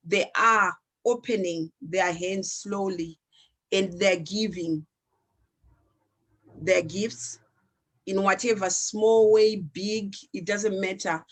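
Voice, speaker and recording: Woman from zimbabwe on a panel, slow and earnest, lots of pauses, shona is her first language. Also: medium pitch. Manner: earnest, reflective, with pauses and restarts. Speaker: panelist, founder of an arts organization. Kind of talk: panel discussion.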